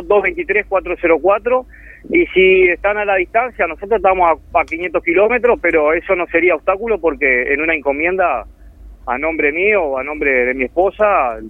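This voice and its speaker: A man's voice: high voice, lively intonation, brisk, dry recording. Earnest Uruguayan man on a tinny phone line. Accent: Uruguayan Spanish. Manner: earnest, heartfelt, rambling. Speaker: phone-in guest, charity volunteer. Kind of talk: casual radio interview over the phone. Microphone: telephone.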